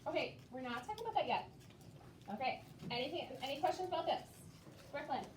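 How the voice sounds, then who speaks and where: A high-pitched woman teacher, recorded at a distance in a reverberant room. She gives young children simple, patient instructions and often checks in with short questions. Some room noise sits behind her. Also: animated, quick pace. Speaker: elementary school teacher. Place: elementary school classroom, indoors. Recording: echoey noisy classroom, far from mic.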